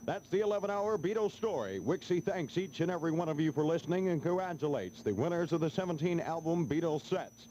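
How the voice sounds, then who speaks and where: Male American 1970s radio jock, slightly high pitch, easy contest chat, noisy AM aircheck. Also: expressive, quick pace, hardly any pauses, mellow, muffled recording. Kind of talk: casual phone-in contest patter on 1970s radio.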